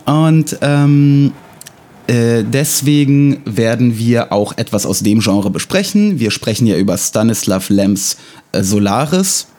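A man co-hosts a casual podcast and speaks with a light Russian accent, his native language being Russian. His voice sits at an ordinary middle pitch. He talks haltingly, with many fillers and a dry, self-ironic touch. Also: lively intonation, darkish tone. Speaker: podcast co-host.

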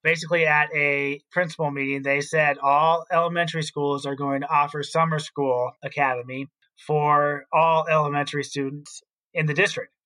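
A man's voice: fairly high pitch, little pitch movement, moderate pace, bright, forceful tone, poor audio. A man co-hosting an education podcast as a school principal, explaining his opinions in casual talk.

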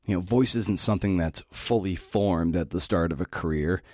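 Low-voiced man giving a dry-recorded talk. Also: moderate pace, dry recording. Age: middle-aged. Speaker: podcast host giving a talk. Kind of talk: scripted talk with casual asides.

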